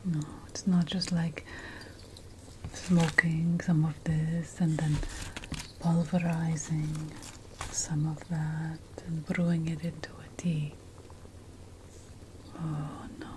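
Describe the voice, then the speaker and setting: A woman playing an ancient, wry and fussy witch mentor in a slow, breathy whispered ASMR fantasy roleplay. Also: low voice, little pitch movement, background noise. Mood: fussy, instructive. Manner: slow, deliberate, wry, theatrical. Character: ancient Mesopotamian witch mentor, teasing, fussy about plant remedies.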